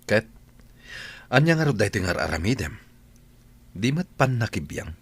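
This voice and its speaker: A man acts a stubborn young philanderer in a village radio drama. His voice sits somewhat low in a dry recording, with an offhand, unbothered manner. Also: very animated, normal speed, gravelly, breathy edge, dry recording, exaggerated voice. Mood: defiant. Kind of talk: Ilocano radio drama acting.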